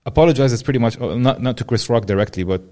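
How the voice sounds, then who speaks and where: A man's voice: medium pitch, fast, warm tone. A young man from Jordan hosting a podcast interview, talking in a casual, rambling way and swearing freely. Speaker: podcast host.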